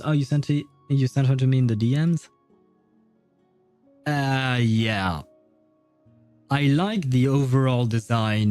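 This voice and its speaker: A man chatting casually on a livestream while gaming, with a halting, rambling, amused way of talking. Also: mid-range voice, darkish tone.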